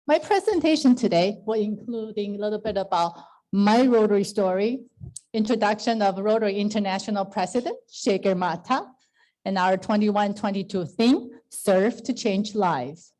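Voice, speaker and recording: A middle-aged woman from Taiwan speaks English, her tongue. Her voice is mellow and warm, pitched at an ordinary height for a woman. She presents graciously over a video call, thanking her audience again and again. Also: moderate pace, rough recording. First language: Mandarin Chinese. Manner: gracious, laughs often, thanks repeatedly. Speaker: guest speaker, Rotary district governor. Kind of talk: slide presentation to a club.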